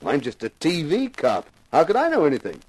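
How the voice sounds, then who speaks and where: A man's voice: a little high, huge pitch swings, a little fast, darkish tone, slightly rough, full character voice. A man acting a cocky, glib TV detective, the wry lead and narrator of a 1950s radio drama. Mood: feigned innocence. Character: wry, cocky TV actor playing amateur detective.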